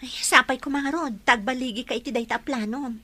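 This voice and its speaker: A woman's voice: high-pitched, fairly even intonation, fairly quick, bright, forceful tone, no room sound. A woman playing a frank, friendly young job seeker in an Ilocano audio drama, candid and outspoken. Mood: friendly, grateful.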